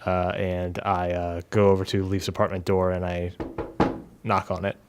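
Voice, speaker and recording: A man with a fairly deep voice plays a tabletop game. He casually describes his character's actions, a little haltingly. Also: completely flat delivery, very noisy. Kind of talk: casual improvised third-person play.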